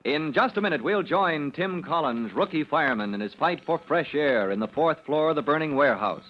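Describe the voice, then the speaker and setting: A man's voice: fairly high pitch, lively intonation, brisk. A man announcing and narrating in a dramatic old-time radio style. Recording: noisy muffled old radio recording.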